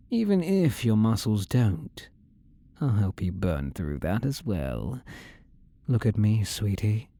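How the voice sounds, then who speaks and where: Casting: male actor as controlling demon-possessed boyfriend for audio roleplay; low, dark mellow voice, menacingly tender and condescending. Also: dry recording, full character voice. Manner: slow, teasing, coaxing endearments, condescending. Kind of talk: scripted roleplay audio drama.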